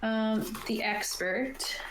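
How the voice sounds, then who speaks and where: A woman's voice: on the high side, drawn-out words, a bit bright, a little hiss or hum. A woman casually explaining tabletop game rules and reading rulebook passages aloud, as a game master on an online call. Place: indoor room, online video call. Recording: roomy, echoey home recording.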